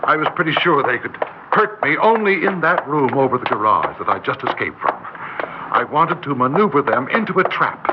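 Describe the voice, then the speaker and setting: A man's voice: mid-range voice, very animated, moderate pace, very noisy, natural voice. A man acting an agitated small-town schoolteacher, urgent and desperate to be believed, in old-time radio drama style. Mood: tense narrating. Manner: urgent, stammering when flustered. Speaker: narrator and lead character. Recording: muffled old radio recording, music behind.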